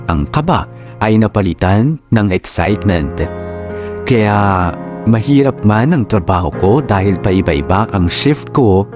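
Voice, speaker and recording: A man's voice: on the low side, natural voice. A man playing a lovestruck office worker, narrating a love story in the first person with dreamy, gushing delivery. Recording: music playing behind the voice. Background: music.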